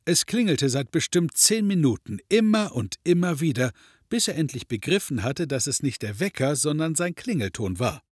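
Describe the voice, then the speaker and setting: Wry narrator, dry studio sound. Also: male voice, medium pitch, breathy edge, no room sound. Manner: dry, ironic, measured. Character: wry omniscient male storyteller. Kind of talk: scripted comedic narration for audio drama.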